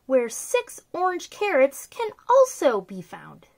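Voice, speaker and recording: Bubbly high-voiced woman reading to kids. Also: huge pitch swings, slow pace. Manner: bubbly, sing-song talk to children. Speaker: children's story time host. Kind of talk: reading a picture book aloud to kids. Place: small decorated room indoors.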